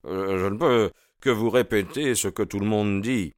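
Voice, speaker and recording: A man's voice: on the low side, normal speed, no room sound, studio quality, voice pushed up, natural voice. A man voicing a stern, wary landlord in an audiobook, blunt and aggrieved in his way of talking. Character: stern, wary landlord, father of a grown daughter. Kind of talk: audiobook character dialogue.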